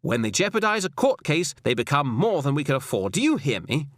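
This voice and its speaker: A man's voice: fairly high pitch, very animated, fairly quick, no room sound, clean studio sound. A man voicing a cold, stern, scornful senior policewoman in an audiobook, with a clipped, authoritative, lecturing manner. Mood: cold, firm. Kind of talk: audiobook character dialogue.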